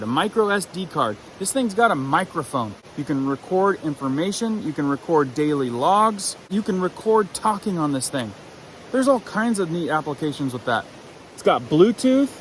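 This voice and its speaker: A man's voice: fairly high pitch, fairly bright tone, slight background noise. A man giving a casual, enthusiastic product review, conversational as he runs through features.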